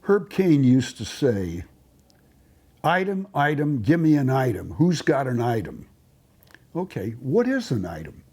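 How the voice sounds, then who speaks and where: Husky, animated man telling stories. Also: medium pitch, measured pace. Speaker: documentary storyteller. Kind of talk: documentary storytelling.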